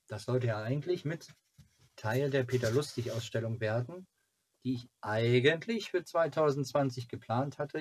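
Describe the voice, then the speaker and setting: A man in his forties from Germany chatting casually to camera as a fan channel host, in a halting, rambling way. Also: medium pitch, a little mellow, breathy edge. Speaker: fan club video host. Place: small cluttered home room.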